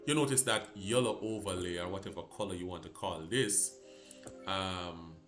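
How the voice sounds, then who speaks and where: A Jamaican man hosting a gaming channel, talking through a game fix in a halting, casual way with many fillers and restarts. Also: low voice, a bit bright, faint music behind. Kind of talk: casual tutorial walkthrough.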